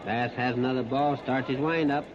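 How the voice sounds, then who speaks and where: A man's voice: medium pitch, steady tone, normal speed. An American man calls live baseball play-by-play on the radio in short, plain-spoken, folksy phrases. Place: outdoor ballpark. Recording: noisy, muffled 1930s radio broadcast recording.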